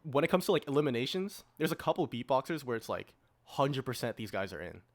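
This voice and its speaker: A man's voice: medium pitch, sing-song, fast talker. A man in his early twenties hosting a casual podcast discussion, informally steering and organizing the conversation. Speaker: podcast host.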